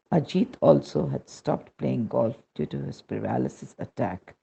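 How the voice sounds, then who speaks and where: A man's voice: mid-range voice, gravelly, mellow, husky. A man reading a devotional testimonial aloud as scripted narration, on a muffled recording.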